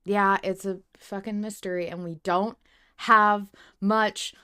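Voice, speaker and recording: A woman's voice: medium pitch, fairly even intonation, slow, pushed and bright, clean tone. A woman co-hosting a casual fan discussion of a fiction podcast in an agreeable, conversational way, with occasional swearing. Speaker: podcast co-host.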